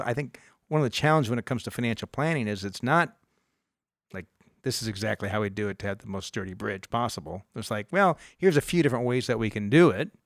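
A man's voice: medium pitch, dry recording, studio quality. A middle-aged man hosting a radio finance show, talking casually, rambling with restarts and easy laughs.

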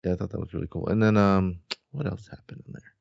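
Low, gravelly-voiced man chatting casually. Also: steady tone, fast talker, darkish tone, dry recording, muffled recording. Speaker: podcast co-host. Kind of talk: casual chat.

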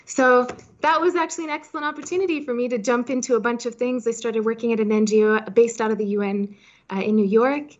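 A woman's voice: on the high side, fairly even intonation, fast talker, muffled recording. A woman in her thirties from Hong Kong, born in Switzerland, answering interview questions conversationally with plenty of "you know" asides. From Switzerland-born, raised in Hong Kong. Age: 30s. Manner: chatty, peppered with "you know". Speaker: podcast guest, startup founder.

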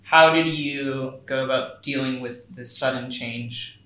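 A man whose first language is Spanish hosts a podcast interview, speaking English in a relaxed, thoughtful way with lots of ums. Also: medium pitch, measured pace. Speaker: podcast host. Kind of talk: casual interview. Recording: echoey room with faint noise.

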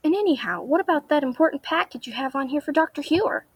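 Girl acting stubborn whiny kid sidekick, high voice, old radio serial, really dry sound. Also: expressive, fast talker, dry recording. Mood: sulky, reluctant. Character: Willie, plaintive, stubborn child sidekick. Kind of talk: classic radio drama acting.

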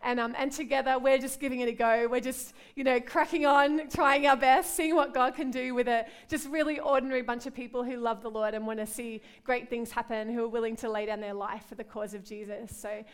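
Australian woman, middle-aged, preacher, earnest prayer, fairly high voice, smooth and clear. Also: fairly even intonation, a little fast, hardly any pauses. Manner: warm, earnest, repeats "God" and "Lord" in prayer. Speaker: pastor. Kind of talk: women's conference sermon and prayer.

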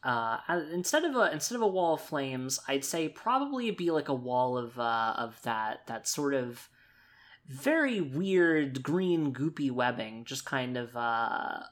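A man's voice: mid-range voice, big pitch swings, measured pace, a bit bright. A man improvising narration for his character in a tabletop roleplaying game, hesitant and loosely worded as he thinks aloud.

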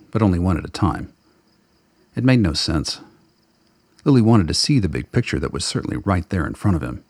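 A man narrates a radio drama in a very low voice with plenty of gravel in it. The delivery is brooding and literary, and the sound is close and dry, though the words come out somewhat husky. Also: no echo. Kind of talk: dramatic scripted narration of fiction.

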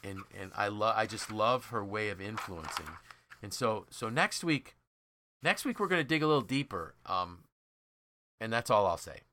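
A man's voice: on the low side, expressive, moderate pace, pushed and bright, slight background noise. A man hosting a podcast, telling stories conversationally in an enthusiastic, emphatic way and acting out quoted dialogue. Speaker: podcast host and leadership trainer.

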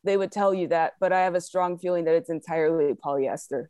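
A congested, fretful Grim Reaper, played by a woman, speaks in a lowish female register with a very dry, close sound. The figure is sickly and self-deprecating, grumbling casually as a guest on a comedy interview. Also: fast talker, dark tone, clean tone, no echo, natural voice. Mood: glum complaining. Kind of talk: improvised comedy interview in character.

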